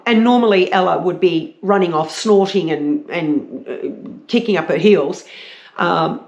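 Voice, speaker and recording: A woman with a croaky voice, chatting casually and a little hesitantly as a book reviewer. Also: fairly low pitch, highs cut off. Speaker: book reviewer, vlog host. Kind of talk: casual book chat with read-aloud blurbs. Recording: roomy, echoey sound.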